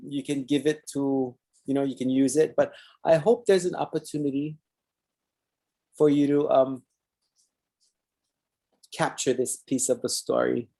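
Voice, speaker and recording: A man's voice: medium pitch, creaky, dark tone. A man with a Hawaiian Pidgin accent hosting a talk show, chatting loosely and reading viewer comments aloud with Hawaiian words mixed in. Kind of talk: casual live-stream sign-off chat.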